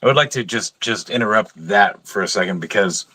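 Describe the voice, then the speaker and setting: A man's voice: fairly low pitch, a little fast, pushed and bright, no echo. A man in a casual panel discussion, speaking insistently and repeating phrases to press his point.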